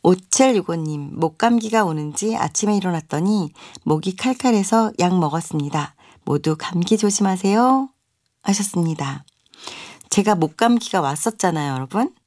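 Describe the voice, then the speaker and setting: A young woman hosts a radio music program in a relaxed, chatty way, reading out listener messages and adding friendly asides. Her voice sits on the low side. The sound is dry and close, with no room around it. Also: dry recording. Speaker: radio host.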